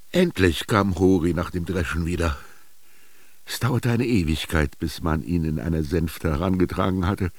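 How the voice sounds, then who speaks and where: A wry old Egyptian peasant looking back on his life in a radio drama. The voice is very low and full of gravel. He philosophizes in a slow, earthy way, with dramatic pauses. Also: no room sound, full character voice. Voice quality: gravelly, husky. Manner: slow, brooding, expressive storytelling with dramatic pauses. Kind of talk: literary radio drama monologue.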